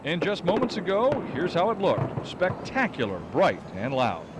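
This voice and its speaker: A man's voice: on the high side, huge pitch swings, moderate pace, noisy background. A man narrating a light news feature in a playful delivery. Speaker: news reporter.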